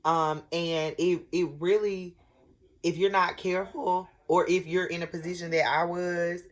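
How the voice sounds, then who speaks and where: A woman with a cold and a raspy voice, speaking earnestly to camera in a personal vlog, with many pauses and restarts. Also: on the low side, flat delivery. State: sick with a head cold. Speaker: vlogger. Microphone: camera at desk, glancing at notes.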